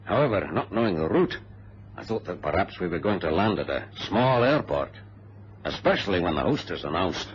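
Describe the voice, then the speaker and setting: An actor playing a brisk, precise ex-colonel who tells his adventure in a formal radio-drama style. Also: low voice, sing-song. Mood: anxious. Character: brisk ex-colonel plane passenger, an orderly organizer. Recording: noisy muffled vintage radio drama recording.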